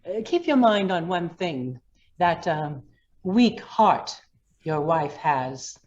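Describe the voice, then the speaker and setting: Menacing radio-play gangster acted by a woman, unhurried, swooping delivery, slightly dull recording. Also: lowish voice, big pitch swings, darkish tone. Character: menacing gangster threatening a judge. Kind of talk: old-time radio drama acting.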